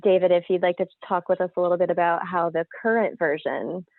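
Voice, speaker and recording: Even-toned low female host, phone-like sound. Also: quick pace, gravelly, dry recording. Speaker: host, interviewer. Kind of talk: conversational moderating. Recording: thin, phone-like, dry call audio.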